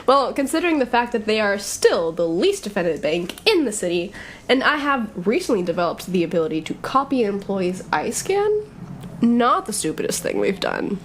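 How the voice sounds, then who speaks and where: A woman's voice: mid-range voice, sing-song, normal speed, a little hiss or hum, close to an everyday voice. A young woman playing a brash, sarcastic college-age thief in an audio drama, speaking in breezy, slangy banter. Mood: cocky. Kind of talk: audio drama dialogue, casual and conversational.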